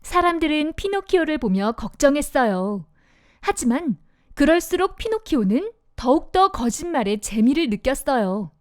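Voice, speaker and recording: Brief: woman reading a kids' fairy tale, high and lively, dry close mic. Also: dry recording. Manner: sing-song, expressive. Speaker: narrator. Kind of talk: children's storybook narration.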